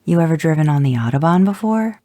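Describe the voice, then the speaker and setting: A man voicing a confident mother behind the wheel of a rental van, sassy and self-assured, pitching up for her. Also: lively intonation, quick pace, mellow, no room sound, lightly acted.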